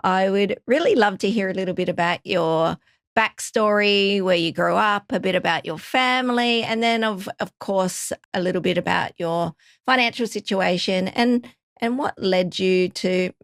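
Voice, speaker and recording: A woman's voice: medium pitch, moderate pace, no room sound, studio quality. A woman hosting a podcast, encouraging and upbeat with her guests. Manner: upbeat, encouraging, with warm laughs and affirmations. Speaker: podcast host. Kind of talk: scripted intro then interview chat.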